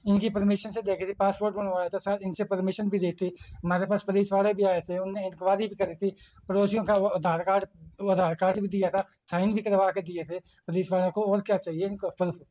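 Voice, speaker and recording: A man's voice: high-pitched, flat delivery, brisk, mellow, low-quality recording. An older man giving an unscripted news interview, talking colloquially and repetitively as he protests. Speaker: father interviewed by reporters.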